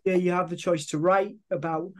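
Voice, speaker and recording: High-voiced halting male interviewer. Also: fairly even intonation. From United Kingdom. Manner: affirming, halting, many restarts. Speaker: interviewer host. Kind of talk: interview conversation.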